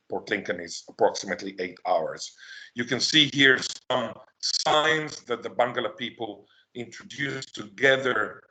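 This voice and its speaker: A man whose first language is Hebrew, giving an academic lecture, answering his own questions emphatically and slowing down to stress key words. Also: fairly low pitch, a bit bright, rough recording.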